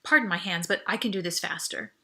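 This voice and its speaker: A woman's voice: lowish voice, quick pace, pushed and bright. A woman voicing a capable, wry young space-cart driver in an audiobook, speaking with urgency and reassurance. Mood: worried, wry. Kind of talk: audiobook character dialogue.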